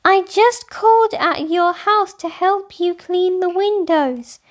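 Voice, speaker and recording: A woman's voice: extremely high pitch, slow, voice pushed up. A woman voicing an eager, easily frustrated little girl bear in a children's storybook, exclaiming earnestly. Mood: defensive.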